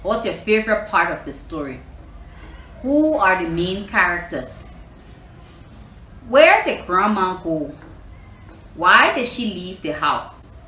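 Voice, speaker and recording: A woman's voice: lowish voice, sing-song, slow pace, pauses often, pushed and bright. A woman hosting a children's storytelling session, teacherly, repeating herself and putting questions to her listeners. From Liberia. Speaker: storytelling host reading to children. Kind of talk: animated children's story reading and lesson. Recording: roomy echo, clear background noise.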